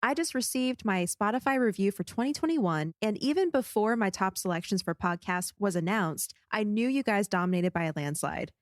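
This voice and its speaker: A woman's voice: mid-range voice, expressive, a little fast, hardly any pauses, no room sound, clean studio sound. A woman co-hosting a casual fan podcast who reads listener reviews aloud, a little sniffly. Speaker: podcast co-host.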